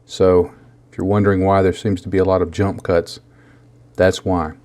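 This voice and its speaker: A man with a bad cold and a rough, hoarse voice, casually walking through a model painting tutorial with many small restarts. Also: deep voice, flat delivery, normal speed, darkish tone. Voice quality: rough, gravelly. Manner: rambling, self-deprecating, many restarts.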